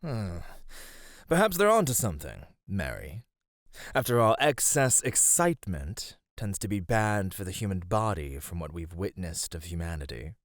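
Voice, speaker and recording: A man playing a flirty, amused god of radiance in an ASMR roleplay, teasing and theatrical, with soft laughs. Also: sits low, sing-song, normal speed, no room sound, studio quality. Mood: musing.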